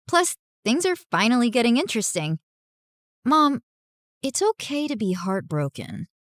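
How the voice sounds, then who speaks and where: A woman playing a caring, plucky teenage daughter in an animated story, earnest and expressive. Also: on the high side, measured pace, no echo, studio quality. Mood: reassuring.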